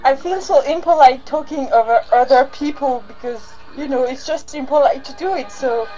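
A woman's voice: high-pitched, moderate pace, dark tone, muffled recording, soft background music. A woman from France, chatting politely but gushing in a casual fan commentary.

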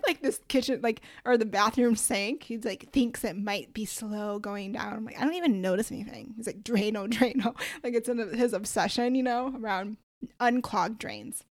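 A woman's voice: a little high, dry recording, clean studio sound. A woman hosting her podcast alone, chatting casually with lots of asides and slang. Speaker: podcast host. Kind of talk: casual solo podcast talk.

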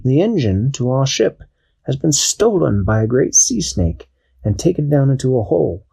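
A man voicing a commanding male ship captain, speaking briskly in a raised voice. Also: medium pitch, sing-song, vocal fry, warm tone, slightly rough, pitched above the performer's own voice, exaggerated voice.

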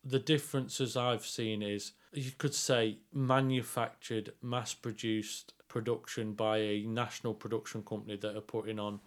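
A man's voice: lowish voice, level tone, moderate pace, few pauses. A man co-hosting a podcast, giving a casual review in a rambling, explanatory way and often repeating himself. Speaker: podcast co-host reviewing theatre.

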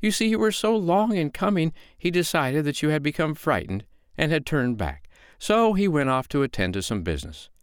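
A man voicing a calm, sly foster mother in a storybook, with a lilting, mock-innocent, needling way of talking. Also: on the high side, normal speed, hardly any pauses, clear, resonant tone, no echo, studio quality, voice pushed up. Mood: calm, teasing.

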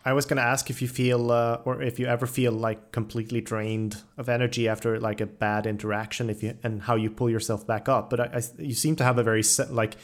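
Mid-pitched man hosting a casual interview. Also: flat delivery, fast talker, hardly any pauses. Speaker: podcast host interviewer.

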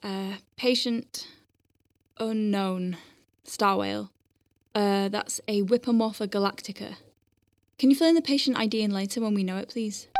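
A woman's voice: medium pitch, fairly even intonation, moderate pace, long pauses, no room sound, natural voice. A woman playing a dry-witted, capable doctor on a space station, dictating clinical notes with wry conversational asides in an audio drama. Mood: brisk, wry. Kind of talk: science-fiction audio drama, spoken log.

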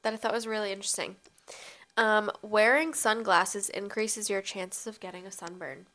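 A woman's voice: mid-range voice, steady tone, normal speed, fairly bright tone. A young woman co-hosting a podcast, chatting casually with a stuffy, sniffly nose from sneezing, laughing often. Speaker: podcast co-host. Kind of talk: casual chat.

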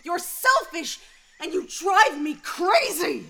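A woman's voice: extremely high pitch, very animated, slow pace, creaky, sharp tone, heavily acted. A woman playing a fiery, scornful space adventurer in an audio drama, cutting and emphatic. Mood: angry, contemptuous.

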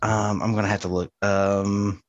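A man's voice: lowish voice, little pitch movement, normal speed, no room sound, muffled recording. A man co-hosting a podcast, chatting casually about media. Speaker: podcast co-host.

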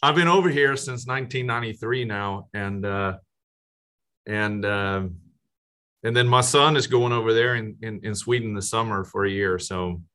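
A man hosting a casual podcast interview, speaking haltingly with frequent restarts and fillers. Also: lowish voice, bright, forceful tone. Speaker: podcast host.

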